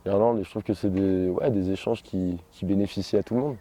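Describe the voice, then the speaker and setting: A middle-aged man being interviewed, talking in a relaxed, chatty way. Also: low voice, a little fast. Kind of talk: casual interview talk.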